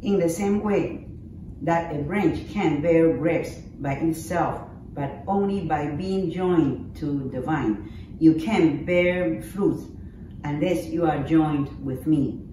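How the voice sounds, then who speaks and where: An older woman, a native Taiwanese speaker, reading scripture aloud slowly and carefully from a sheet of paper. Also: sits low, dark tone. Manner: slow, measured reading from paper. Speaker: scripture reader. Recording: echoey, distant mic with faint hiss.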